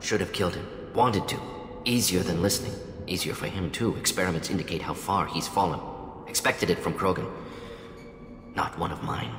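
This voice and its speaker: A man's voice: sits low, moderate pace, fairly bright tone. A man playing an aging alien scientist and professor in a video game, speaking in clipped, telegraphic phrases that drop small words. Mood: regretful. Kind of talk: video game cutscene acting. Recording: echoey hall reverb under background music.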